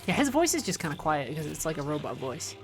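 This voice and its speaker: A woman's voice: low voice, sing-song, quick pace, faint music behind. A woman doing casual livestream game commentary, chatting informally with viewers and rambling into playful asides. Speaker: livestream host playing a video game.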